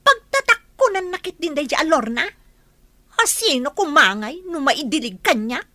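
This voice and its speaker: A woman's voice: sits high, very animated, normal speed, pushed and bright, heavily acted. A woman acting a jealous, spiteful, boastful young village woman in an Ilocano radio comedy drama, with an Ilocano accent and a catty, dramatic delivery. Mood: bitter, jealous.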